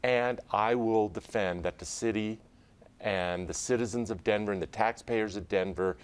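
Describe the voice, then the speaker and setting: A middle-aged man with a very low, deep voice, building his argument step by step on a TV panel. He sounds measured and deliberate, recorded close on a lapel mic in a studio. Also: smooth. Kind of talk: televised political panel debate. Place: television studio desk.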